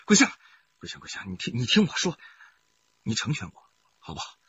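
A man's voice: medium pitch, wildly swooping pitch, brisk, pushed and bright, husky, highs cut off, heavily acted. An actor playing a middle-aged, money-hungry husband in a radio crime drama, who repeats his words and swings between coaxing and bullying. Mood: wheedling, pleading.

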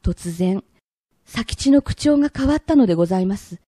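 Bright-voiced woman narrating a period drama. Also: mid-range voice, expressive, husky, dry recording, studio quality. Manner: polite, measured narration in old-fashioned honorifics. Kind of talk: formal period radio drama storytelling.

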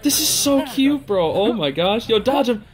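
A man giving casual, slangy live reaction commentary in an excitable, giggly, exclaiming manner. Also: extremely high pitch, moderate pace, a crowd in the background. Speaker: host of a reaction video.

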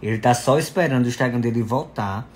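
A man's voice: mid-range voice, quick pace, slight background noise. A man, chatting playfully and affectionately while answering follower questions on his phone at home. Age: 20s. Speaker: social media influencer. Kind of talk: casual selfie Q&A. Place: indoors at home, bedroom and kitchen. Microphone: phone at arm's length.

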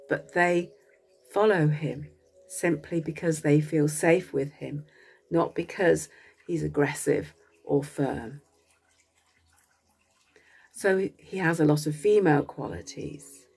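Slow, low-pitched woman guiding meditation. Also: breathy edge. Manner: slow, gentle phrasing with long pauses. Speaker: meditation guide, wellbeing coach. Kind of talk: guided meditation narration.

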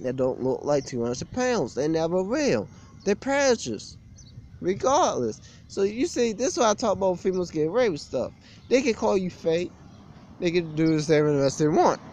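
Young male vlogger, higher voice with lively swings, blunt aggrieved rant, shot outdoors. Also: a little hiss or hum. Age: young adult. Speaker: gym vlogger. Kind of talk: informal opinionated rant to camera. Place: outdoors beside a brick building on a street. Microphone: phone or webcam at arm's length.

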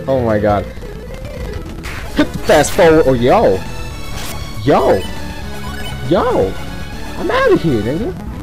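A man's voice: on the high side, wildly swooping pitch, slow, pauses often, over music, poor audio. A man giving rambling, profane, casual commentary while he plays a video game. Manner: rambling, profane, laughs often. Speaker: gameplay commentator. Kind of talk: casual off-the-cuff gameplay commentary.

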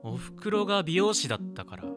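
An actor playing a cocky, easygoing young hairdresser in an audio drama, talking in casual, rough masculine slang. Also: male voice, high-pitched, very animated, quick pace. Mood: matter-of-fact, proud. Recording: music behind the voice. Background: music.

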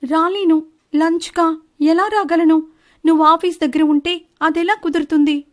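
A woman's voice: very high-pitched, fairly even intonation, normal speed. A woman voicing a deceitful female bird wife in a cartoon story, defensive and protesting. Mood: evasive. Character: Chinnu, deceitful married female bird, indignant and evasive. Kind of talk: animated Telugu moral-story dialogue.

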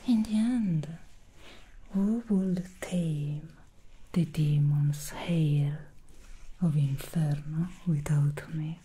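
A woman's voice: deep voice, extremely slow, warm tone, slight background noise. A woman playing a sly, aloof female demon hair stylist in an ASMR roleplay, whispering and teasing, disdainful of mortals.

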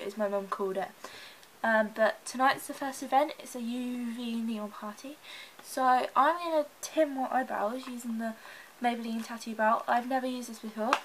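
A young woman with a slightly high voice chats to a handheld camera in her bedroom. She sounds stuffed up from a cold and talks in a nervous, rambling way full of "like". A faint hiss sits under the home recording. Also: a bit bright, poor audio. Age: young adult. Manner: chatty, anxious, lots of "like". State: congested from a cold. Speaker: vlogger. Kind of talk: casual vlog chat to camera. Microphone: handheld camera close up.

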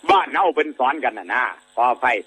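A monk with an Isan Thai accent gives a folksy sermon built around an old fable. His voice is bright and a little husky. He rambles conversationally, fills gaps with drawn-out sounds and keeps asking his listeners whether they agree. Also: medium pitch, expressive. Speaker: Buddhist monk giving a dharma talk.

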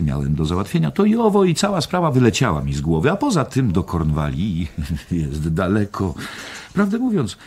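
An actor playing a worldly journalist in a radio drama, chattily telling a friend an eerie tale in a husky, gravelly voice. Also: male voice, deep voice, darkish tone, heavily acted.

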